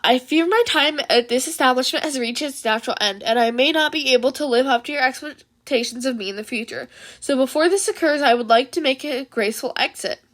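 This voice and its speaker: A dramatic teenage boy from an anime story played by a woman speaks in a high, anxious voice. He is fretful yet formal, as read in fan fiction. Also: fairly quick, fairly bright tone. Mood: stiffly formal. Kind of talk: fan fiction audiobook character voice.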